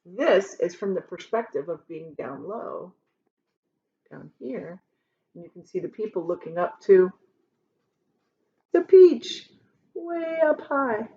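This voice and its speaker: Animated woman reading a children's story aloud. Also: medium pitch, slow pace, long pauses, breathy edge, dull audio. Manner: expressive, dramatic reading for children. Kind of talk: animated storytime read-aloud with explanatory asides.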